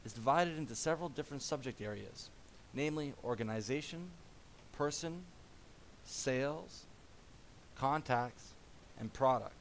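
Man, mid-pitched voice, measured explanatory voice-over, software walkthrough, close mic, light hiss. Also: low-quality recording. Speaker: product demo narrator.

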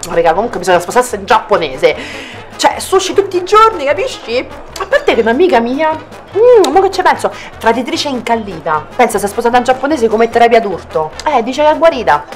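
A young woman comedian with a Roman accent talks in a high, very expressive voice. Her delivery is slangy and theatrical, like a comic monologue. Music plays clearly behind her. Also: a little fast. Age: young adult. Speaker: comedian, sketch performer. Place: small restaurant dining room, indoors. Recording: background music under the voice.

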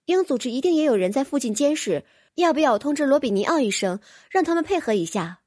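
A woman's voice: high voice, big pitch swings, quick pace, a little mellow, no echo, clean studio sound, pitched above the performer's own voice. A woman voicing an earnest, curious young fantasy heroine in an audiobook, inquisitive and decisive. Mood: concerned. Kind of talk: audiobook character voice.

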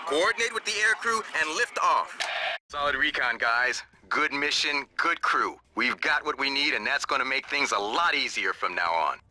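A man's voice: mid-range voice, expressive, normal speed, sharp tone. A man playing a clipped, authoritative field commander, giving scripted mission briefings in a war game. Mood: approving. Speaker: military commander.

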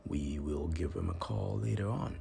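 A man hosting a podcast, giving a promotional monologue in a deliberate, portentous way with emphatic pauses. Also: extremely low pitch, lively intonation, vocal fry, warm tone. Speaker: podcast host. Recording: noticeable background noise.

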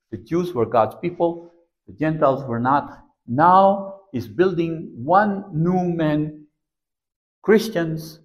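A man in his sixties preaching a sermon from a pulpit in a small church, slow and deliberate, recorded by a camera across the room. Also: a little high, low-quality recording. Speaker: pastor.

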